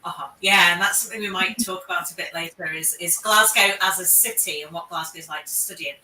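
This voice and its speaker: Bright-voiced woman moderating a panel. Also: lowish voice, quick pace. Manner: encouraging, chatty, repeats words. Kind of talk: live online panel Q&A. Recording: echoey room, distant mic.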